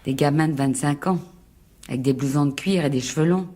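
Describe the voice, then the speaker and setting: Fretful, very low-voiced husky wife. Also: female voice, a little fast, mellow. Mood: fearful, agitated. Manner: plaintive, pleading. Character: anxious, fragile wife, fearful and reproachful. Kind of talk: French radio mystery drama acting.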